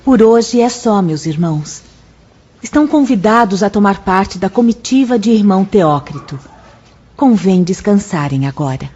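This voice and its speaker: Mellow, kindly spirit sister. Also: female voice, mid-range voice, animated, normal speed, slightly rough. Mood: gentle, kindly. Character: gentle, kindly female spirit sister guiding pupils. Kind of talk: radio drama acting. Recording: slightly muffled radio drama.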